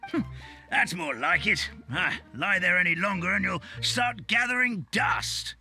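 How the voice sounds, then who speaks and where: A man's voice: sits high, expressive, unhurried, bright, forceful tone, soft background music, heavily acted. A man voicing a polite, flustered origami forest animal in a video game, with a fussy, stammering manner. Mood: self-satisfied.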